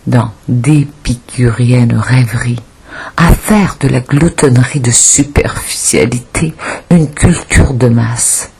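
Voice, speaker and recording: Woman reading poems out loud, really breathy n husky, slow and solemn with pauses. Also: rumbling low. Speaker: volunteer audiobook reader. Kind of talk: poetry recitation.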